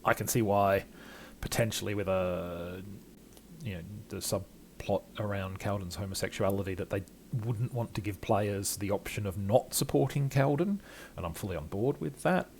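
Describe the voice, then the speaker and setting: Older guy doing casual game commentary, kinda low and croaky, rambles, bit self-deprecating. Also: normal speed, a little mellow, a little hiss or hum. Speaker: gaming video host.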